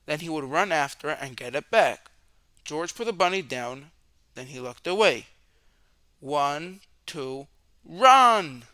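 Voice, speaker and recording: Guy reading a kids storybook out loud, really crisp voice, dry close sound, expressive with drawn out words. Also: a little high, no room sound. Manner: dramatic questions and drawn-out words for young listeners. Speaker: storyteller reading a children's book aloud. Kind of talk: expressive children's read-aloud narration.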